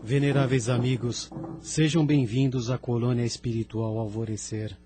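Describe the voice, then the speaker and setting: A man's voice: medium pitch, steady tone, moderate pace, background noise, natural voice. A man with a Brazilian accent playing a courteous, dutiful spirit rescuer in an audio drama, formal in manner. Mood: welcoming. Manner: polite, formal. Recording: music bed behind the voice.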